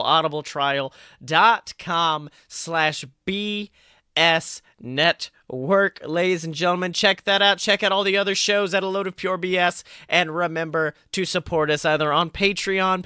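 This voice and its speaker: A man's voice: fairly high pitch, bright, forceful tone, smooth, no echo, clean studio sound. A man hosting a podcast in a casual, playful, showman-like way with emphatic announcements. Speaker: podcast host.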